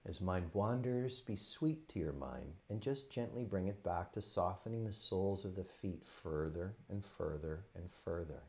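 A man with a fairly low voice guides a meditation. He speaks gently and without hurry, setting out short phrases with pauses between them, as a teacher leading students through a practice. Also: lively intonation.

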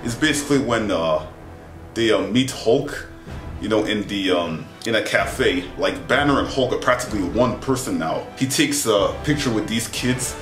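Young guy rambling casually to camera, animated, echoey bedroom, music playing behind him. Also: lowish voice, moderate pace. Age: young adult. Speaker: vlogger reviewing a film. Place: small indoor bedroom with bunk beds.

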